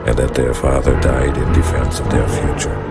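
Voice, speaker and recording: Very deep, gravelly defeated admiral. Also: male voice, completely flat delivery, moderate pace. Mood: grave remorse. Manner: solemn, weary. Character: defeated older admiral, grave, remorseful, broken by pride. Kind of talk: game cutscene farewell narration. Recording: very loud music behind the voice.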